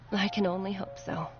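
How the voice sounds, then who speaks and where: A woman playing a gentle, worried mother in a video game, speaking earnestly. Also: mid-range voice. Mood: sad, pleading. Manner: earnest, sighing, pleading. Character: gentle, worried mother and doctor's old friend. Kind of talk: video game character acting. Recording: muffled game audio, music behind.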